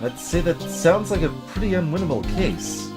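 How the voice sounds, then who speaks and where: A man voicing a blunt, excitable, folksy middle-aged police detective in a video game. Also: fairly high pitch, normal speed, over music, natural voice. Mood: glum. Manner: folksy, blunt, keeps saying pal. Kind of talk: voiced video game dialogue.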